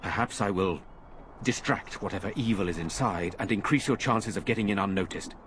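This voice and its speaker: Man doing a game noble lord, lowish voice, steady and serious. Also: fairly quick, a little hiss or hum, close to an everyday voice. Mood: earnest. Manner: earnest, steady, commanding. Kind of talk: video game voice acting.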